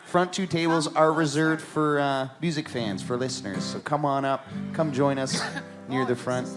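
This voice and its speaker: A man from the Ottawa Valley speaks from the stage of a small live venue as host of a songwriters' circle. His voice sits at a middle pitch, and his talk is casual and reflective, like storytelling between songs. Guitar music is clearly heard behind him. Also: moderate pace. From Ottawa Valley, Canada.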